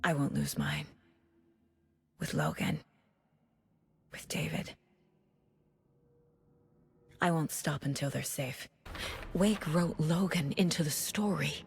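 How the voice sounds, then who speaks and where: A woman's voice: sits low, huge pitch swings, slow pace, long pauses, breathy edge, full character voice. An actress playing a determined FBI agent and fiercely protective mother in a video game, speaking tersely and intensely. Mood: resolute. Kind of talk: dramatic game acting.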